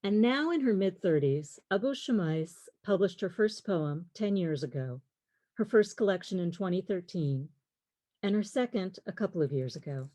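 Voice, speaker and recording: A middle-aged woman lecturing on literature, reading in a measured, deliberate way with pauses. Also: on the low side, lively intonation, warm tone, no room sound. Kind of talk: prepared lecture, reading quotes and poems aloud.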